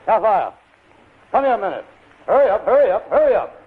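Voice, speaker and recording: An actor playing a blustering, henpecked husband who boasts and stammers when flustered, in 1940s radio comedy style. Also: male voice, high voice, big pitch swings, brisk, over loud music. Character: blustering henpecked husband, scheming lodge leader. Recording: tinny, narrow-band old radio recording.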